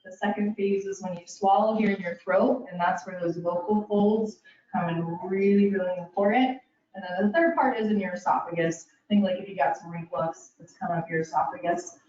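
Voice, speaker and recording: A woman's voice: lowish voice, fairly even intonation, fairly quick, darkish tone. Chatty woman speech therapist talking to the audience, um kind of, roomy far mic. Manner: casual and chatty, addresses listeners directly, many "kind of" and "um". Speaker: speech-language pathologist. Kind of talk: educational presentation. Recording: roomy, distant, dull sound.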